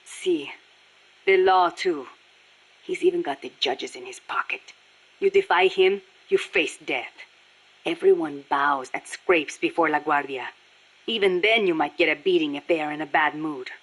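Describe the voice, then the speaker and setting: A fairly low-pitched female revolutionary with a Nicaraguan Spanish accent. She is a video game guerrilla, solemn and earnest, carrying a bitter passion for her cause. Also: measured pace, a bit bright, slightly rough, heavily acted, low-quality recording. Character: passionate, embittered young Nicaraguan Sandinista guerrilla woman. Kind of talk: scripted video game voice acting.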